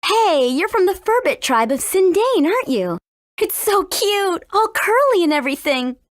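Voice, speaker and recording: A woman's voice: very high-pitched, sing-song, moderate pace, no room sound. A woman voicing a confident, cheeky teenage heroine in a video game, breezy and persuasive. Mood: breezy, curious. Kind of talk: video game character acting.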